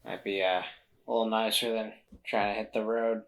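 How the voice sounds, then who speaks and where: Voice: medium pitch, level tone, moderate pace, natural voice. A player voicing a laid-back, considerate male traveler in a tabletop game, mild, hesitant and casual. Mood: tentative.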